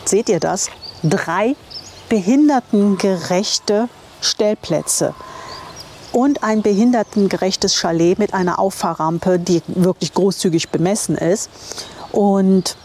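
A woman presents an informal travel vlog. Her voice sits at a medium pitch, and she is chatty and enthusiastic. She wears a lapel mic, with some ambient noise behind her. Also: slow, darkish tone. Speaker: camper van travel vlogger and presenter. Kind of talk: informal guided tour to camera. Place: campsite, outdoors and in small tiled rooms. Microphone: clip-on lapel microphone. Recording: clear ambient noise on location.

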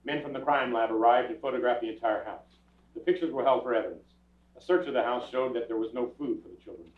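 Older guy acting as a calm clipped radio-play cop, talks quick, muffled and echoey from out in the seats. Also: mid-range voice, lightly acted. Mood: matter-of-fact narration. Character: calm, matter-of-fact police detective sergeant. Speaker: actor and narrator in a stage radio play. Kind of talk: 1950s police radio drama acting. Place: small theatre stage, recorded from audience camera. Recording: muffled, echoey stage recording from far off.

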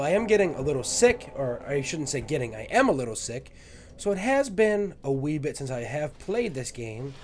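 A man's voice: fairly high pitch, a little mellow. A young man with a stuffed-up, nasal voice from a cold, chatting and joking to himself while playing a game at home on a headset mic. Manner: chatty, self-mocking, talks to objects. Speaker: gaming video host. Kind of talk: let's play commentary. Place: small room at home.

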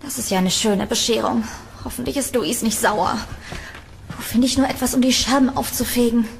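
A woman's voice: fairly high pitch, moderate pace, gravelly, a little hiss or hum. An actress playing a curious, polite, uneasy young woman tenant in a German horror audio drama, hesitant and slightly sardonic. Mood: muttering, irritated.

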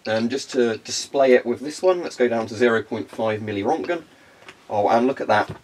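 A man's voice: medium pitch, moderate pace, a little mellow, a little hiss or hum. A young man with a congested, phlegmy voice, casually demonstrating equipment in a carpeted room and rambling with frequent ums. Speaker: hobbyist video host.

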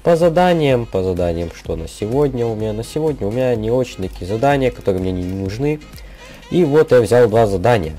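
A young man with a slightly sick voice giving casual, rambling game commentary in halting gamer slang over background music. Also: medium pitch, animated, mellow. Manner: informal gamer slang, halting, thinking aloud. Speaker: gaming video creator. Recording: music behind the voice.

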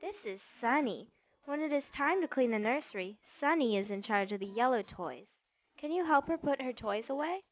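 A woman's voice: on the high side, expressive, normal speed, dry recording, highs cut off. A woman reading scripted instructions as the narrator of a learning game for young children.

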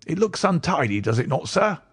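A wizened old book collector played by a male reader speaks in a croaking, raised voice that swings up and down. He is deferential and wheedling, rambling politely like a storybook character. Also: a little high, sing-song, brisk, no echo, clean studio sound, pitched above the performer's own voice. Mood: ingratiating. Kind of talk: audiobook character voice.

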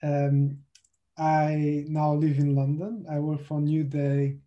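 A man's voice: fairly high pitch, little pitch movement, slow, dull audio. A young man from Portugal with a Portuguese accent, introducing himself in a webinar over a video call in a brief, plain way. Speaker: presenter, senior iOS specialist.

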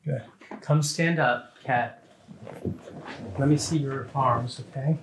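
A middle-aged man talks a patient through treatment in short, plain directives. His pitch is average for a man, and there is clear noise with clicks behind him in a small office. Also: fairly even intonation, takes it slowly. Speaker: chiropractor. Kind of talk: casual hands-on instructions to a patient. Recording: clearly noisy room with clicks.

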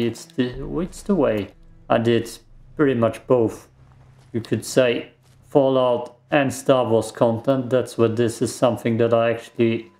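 A man's voice: mid-range voice, darkish tone. A man whose first language is German, casually commenting while playing a game, rambling and reacting aloud with self-deprecating remarks, recorded on a desk microphone at a home gaming setup. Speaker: let's play gamer.

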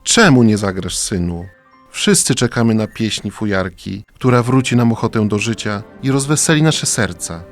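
A man's voice: fairly low pitch, animated, normal speed, faint music behind. A man playing a sad old king, a tender father who speaks in a solemn, pleading way in a children's radio play.

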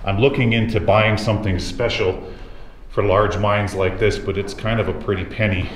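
A man hosting a mine exploration vlog, talking viewers and a companion through abandoned tunnels in a slow, easygoing way. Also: lowish voice, steady tone. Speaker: mine explorer and channel host. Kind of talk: walking-tour vlog narration. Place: large abandoned underground mine. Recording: echoey underground tunnel, faint noise.

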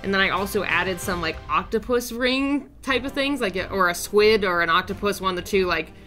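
A young woman with a sore, raspy throat chatting in a casual voice-over as an art channel host, upbeat and rambling with small fillers. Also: medium pitch, lively intonation, fairly quick, bright, forceful tone, soft background music. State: sore throat, raspy and deeper than usual. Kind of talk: casual voice-over narration of a drawing timelapse.

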